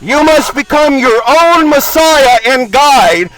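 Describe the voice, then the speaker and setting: A man's voice: very high-pitched, lively intonation, takes it slowly, a bit bright, low-quality recording. A man delivering an impassioned, preachy monologue as an online video host, with a confrontational, grandiose and profane manner.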